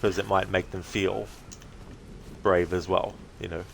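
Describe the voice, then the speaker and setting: A man giving casual, reflective livestream commentary as a game streamer, speaking haltingly in short phrases. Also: sits low, steady tone, vocal fry, slight background noise.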